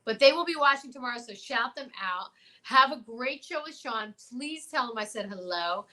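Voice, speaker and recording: Woman co-host, higher bright voice, jokey gushing storytelling, very casual. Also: expressive, moderate pace. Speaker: show co-host. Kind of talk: casual comic chat. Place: remote livestream from quarantine at home.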